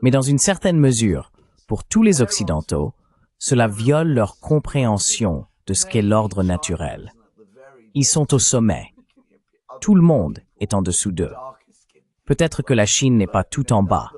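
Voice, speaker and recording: A man's voice: lowish voice, sing-song, measured pace, darkish tone. A man's AI-generated voice reading a dubbed commentary in an emphatic, argumentative way.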